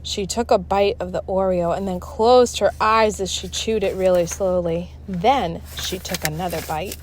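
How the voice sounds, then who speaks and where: A woman reading a children's book aloud in an expressive, emphatic storytelling style. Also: fairly low pitch, moderate pace, slight background noise. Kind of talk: read-aloud story narration.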